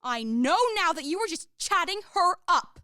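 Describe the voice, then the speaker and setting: A woman's voice: very high voice, lively intonation, normal speed, bright, forceful tone, no room sound, studio quality, full character voice. A woman acting a proud, indignant young lady in a radio play, haughty and melodramatic with a sarcastic edge. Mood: hurt, accusing.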